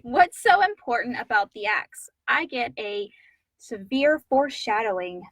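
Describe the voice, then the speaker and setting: A woman's voice: on the high side, big pitch swings, a little slow, dry recording, poor audio. A woman co-hosting a live stream, speaking in an informal, conversational way. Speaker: co-host reading listener questions. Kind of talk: casual live-stream chat.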